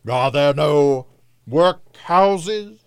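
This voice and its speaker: A man's voice: medium pitch, expressive, slow pace, no echo, clean studio sound, pitched above the performer's own voice. A man voicing a solemn, sorrowful spirit who warns of doom in a grave, emphatic storybook reading. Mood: scornful. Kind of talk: dramatised classic audiobook reading.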